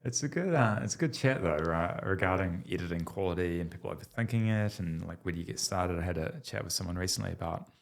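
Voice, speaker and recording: A man's voice: low voice, quick pace, vocal fry, hardly any pauses. A man hosting a podcast, asking encouraging, probing questions in casual chat. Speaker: podcast host.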